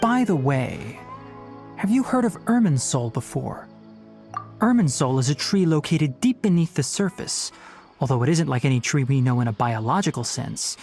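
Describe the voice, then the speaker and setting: A man voicing a young, knowledgeable forest ranger in an anime-style video game, articulate and stern, explaining in a measured way. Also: fairly high pitch, darkish tone.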